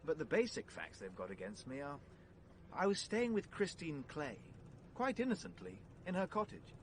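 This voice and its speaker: Flustered posh british gent on the run, old radio play, high animated voice, bit noisy. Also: male voice, moderate pace. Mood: apologetic. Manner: plummy, earnest, flustered. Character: anxious, well-bred gentleman on the run. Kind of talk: vintage BBC radio drama acting.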